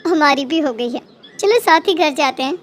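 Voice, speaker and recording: A woman acts a gossipy lady friend in a cartoon, chatty and full of pity. Her pitch is very high, and there is noise in the recording. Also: expressive, normal speed. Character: chatty, sympathetic gossiping female temple friend. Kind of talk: Hindi cartoon moral story acting.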